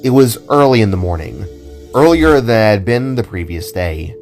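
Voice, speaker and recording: A man's voice: fairly low pitch, animated, normal speed, darkish tone. A man narrating a scripted audio drama as a dramatic storyteller. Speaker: narrator and writer. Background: music.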